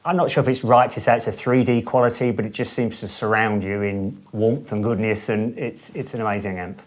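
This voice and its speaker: A middle-aged man who owns a guitar amp studio, chatting in an interview in a relaxed, enthusiastic way with lots of fillers and restarts. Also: fairly low pitch, a little fast. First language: English. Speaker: boutique amp studio owner. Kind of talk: casual interview chat.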